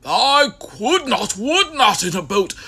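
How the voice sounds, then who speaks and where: A man's voice: high voice, big pitch swings, takes it slowly, loud music behind the voice, full character voice. A man voicing a stubborn, grumpy storybook creature who refuses food with exasperated emphasis. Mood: outraged.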